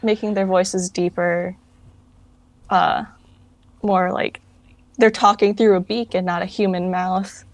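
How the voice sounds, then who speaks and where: A woman's voice: medium pitch, flat delivery, slow pace, pauses often, dry recording. A woman answering interview questions casually, in an informal, rambling way that often corrects itself. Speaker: interview guest, fan project founder. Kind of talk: casual chat.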